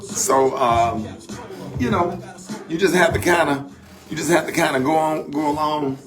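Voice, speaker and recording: A man's voice: fairly high pitch, music in the background. An older man with a Southern American accent hosting a radio morning show, talking casually and loosely about faith and grief. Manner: loose, laughing, drawn-out Southern phrasing. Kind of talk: casual radio banter and reflective talk.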